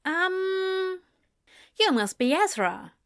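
A woman's voice: very high-pitched, sing-song, very slow, a bit bright, no room sound, studio quality, voice pushed up, exaggerated voice. A woman narrator voicing a chatty, friendly American mother who gushes informally in a story. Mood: amused.

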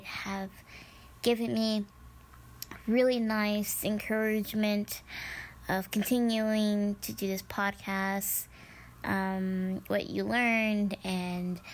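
A young woman from the American Midwest, whose first language was Spanish, hosting her own podcast in a chatty, upbeat way with many ums and restarts. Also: medium pitch. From United States, Midwest. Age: young adult. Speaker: podcast host. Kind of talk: casual solo podcast talk.

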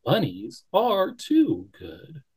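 A man voicing a great silver wolf who speaks slowly, gently and deliberately. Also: sits high, huge pitch swings, breathy edge, pitched above the performer's own voice, exaggerated voice. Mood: gentle.

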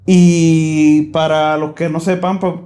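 A man's voice: on the high side, little pitch movement, slow pace, dark tone. A man hosting a casual podcast from a church live room, chatting in a rambling way with many fillers and restarts. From South Texas. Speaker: podcast host.

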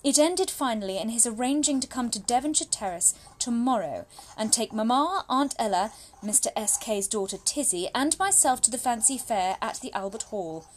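A woman playing an anxious, spirited young Victorian gentlewoman, confiding in her brother through letters in a formal, genteel and expressive dramatic monologue. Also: fairly high pitch, moderate pace, hardly any pauses. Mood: exasperated, dismayed.

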